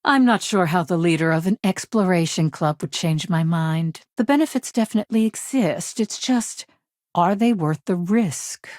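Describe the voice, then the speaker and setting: A woman playing a brisk, guarded, impatient corporate lawyer in a video game, speaking in a crisp, formal, curt way. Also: fairly low pitch, darkish tone, no echo, clean studio sound, lightly acted. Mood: irritated. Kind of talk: scripted game dialogue.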